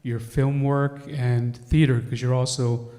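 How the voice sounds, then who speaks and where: A man moderates a panel, asking slow, measured questions in a mellow voice. He sits far from the mic, and his voice trails into a long hall reverb. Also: medium pitch, level tone.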